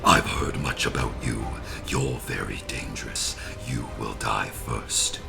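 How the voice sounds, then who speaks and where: Menacing villain: extremely low, croaking growl, ominous formal delivery over music. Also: male voice, slow, full character voice. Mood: threatening.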